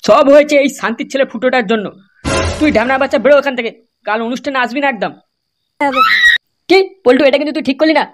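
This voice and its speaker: A woman's voice: sits high, quick pace, pauses often, very noisy, lightly acted. A woman voicing brash young village troublemakers in an amateur cartoon comedy, scolding crudely and swearing constantly. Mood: angry, scolding.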